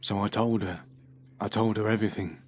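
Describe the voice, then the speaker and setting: An actor playing a troubled, unwell man who confesses to the police in a halting, hushed voice with nervous laughs. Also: on the low side, fairly even intonation, close to an everyday voice. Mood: haunted. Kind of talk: audio horror drama.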